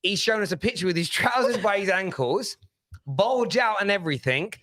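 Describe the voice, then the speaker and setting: A man's voice: high-pitched, expressive, moderate pace, a bit bright, no room sound. A man presenting a football panel show in a studio, talking casually and insistently as he holds the floor.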